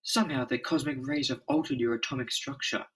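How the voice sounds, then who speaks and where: Man playing an earnest, animated comic-book hero. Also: mid-range voice, fast. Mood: concerned, earnest. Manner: earnest, declarative, melodramatic. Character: earnest, commanding male scientist hero and leader. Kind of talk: dramatic comic-book reading.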